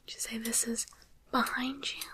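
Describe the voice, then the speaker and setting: A woman playing a gentle, courteous demon doctor in an ASMR roleplay, speaking in a soft breathy whisper with polite, unhurried questions. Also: fairly high pitch, flat delivery, close to an everyday voice. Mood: soothing, clinical. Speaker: ASMR performer.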